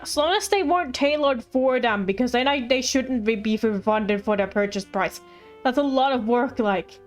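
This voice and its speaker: A woman's voice: fairly high pitch, normal speed. A woman livestreamer giving casual, playful, reactive commentary on a game. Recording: faint game music behind.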